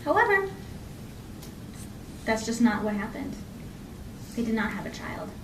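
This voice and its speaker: Recorded from a distance in a reverberant room, a woman tells a folk tale aloud to listeners. Her voice sits high, and she is expressive yet conversational, pausing and repeating phrases for effect. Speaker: storyteller. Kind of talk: live oral folk tale storytelling. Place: indoor library program before an audience. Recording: echoey room from far off, faint noise.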